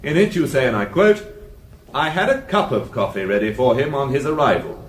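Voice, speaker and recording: An actor playing a formal, sneering British prosecutor cross-examining pointedly in a radio drama. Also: male voice, mid-range voice, lively intonation, brisk, natural voice. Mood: pointed, sceptical. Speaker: prosecuting barrister. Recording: echoing room, faint noise.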